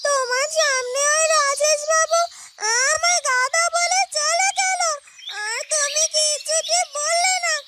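A woman voicing a shrill, whiny young female character in a cartoon, given to exclaiming. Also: steady tone, slow pace, heavy background noise. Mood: indignant, hurt. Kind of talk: cartoon dubbing.

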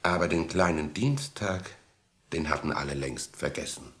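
Male narrator, deep voice, storybook radio play, 1960s storytelling style. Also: normal speed, a bit bright.

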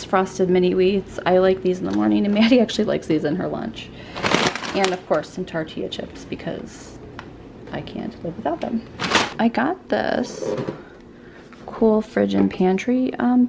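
A woman's voice: mid-range voice, creaky. A woman in her thirties, a mom vlogger chatting casually to her viewers about groceries and home life, confiding and full of fillers. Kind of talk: casual chatty vlog voice-over. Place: home kitchen, indoors. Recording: faint background hum, dishwasher running.

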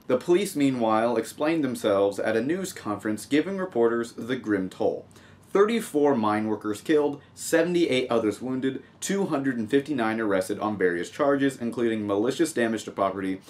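A young man from the United States hosting a casual news vlog at home, reading stories and adding sarcastic, joking asides. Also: mid-range voice. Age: young adult. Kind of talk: casual humorous news commentary. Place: small home room with desk, indoors.

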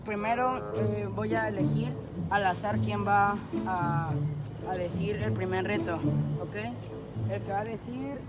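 A man's voice: high-pitched, steady tone, unhurried, over loud music, poor audio. A teenage boy, chatting casually and joking with slang as he films a night-time vlog on a phone, outdoors on a street and then inside an empty house. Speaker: vlogger.

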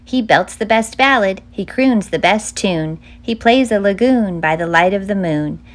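A middle-aged woman reads a children's book aloud as a storytime host, with a clear, measured storytelling delivery. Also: mid-range voice, lively intonation. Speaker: children's author and librarian hosting a storytime.

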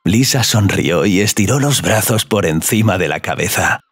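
Very deep, gravelly male narrator. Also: lively intonation, normal speed, no room sound. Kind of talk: scripted story narration.

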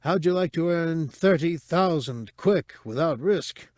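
A man voicing a frail, tortured male prisoner with a sad, husky rasp. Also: a little high, lively intonation, unhurried, a little mellow, no echo, studio quality, pitched above the performer's own voice. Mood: intent.

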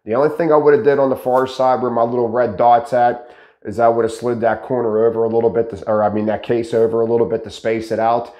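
Animated man teaching with a slightly raspy voice. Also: medium pitch, fast, echoey room, muffled recording. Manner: animated, gestures a lot, asks rhetorical questions. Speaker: host teaching pallet building. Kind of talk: casual instructional talk to camera. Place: dark studio with green screen, indoors. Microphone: lapel mic.

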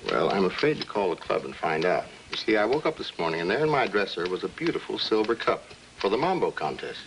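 An actor playing a jovial, rambling, hungover partygoer in a 1950s film drama, chatty and wry, on a noisy soundtrack. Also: male voice, sits low, very animated, fast. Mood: wry, hazy.

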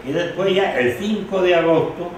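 An older man born in Galicia recalls his years as a rebel soldier, tagging his sentences with a questioning "no?". His voice sits a little high. The sound is very roomy and picked up from across the room. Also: fairly even intonation, normal speed, noisy background. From Galicia, Spain. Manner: reflective, with many "¿no?" tags. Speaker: former rebel soldier interviewed. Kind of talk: recollecting in an interview. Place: living room, indoors. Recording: very echoey room, mic far off.

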